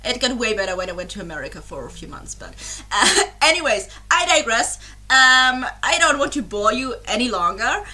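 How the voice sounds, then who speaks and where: Young woman, slightly high, crisp bright voice; rambling, giggly webcam chat. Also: sing-song, moderate pace. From Germany. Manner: rambling and apologetic, many fillers and giggles. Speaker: reaction YouTuber. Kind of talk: casual chat to camera.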